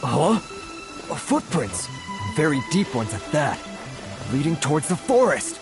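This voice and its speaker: A man's voice: a little high, very animated, a little slow. An actor playing an older, flustered merchant in a video game, hesitant and sighing as he stammers through his words. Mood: worried. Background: game music.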